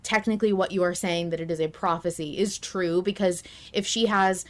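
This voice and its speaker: A woman's voice: fairly low pitch, steady tone, a little fast. A woman co-hosting a podcast, talking casually and informally and giving her opinions. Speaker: podcast co-host. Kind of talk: casual podcast discussion of a fiction show.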